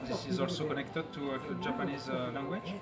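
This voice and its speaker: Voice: mid-range voice, fairly quick. An interviewer in a casual chat who hesitates, repeats words and asks questions. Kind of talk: casual conversation. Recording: loud music behind the talk.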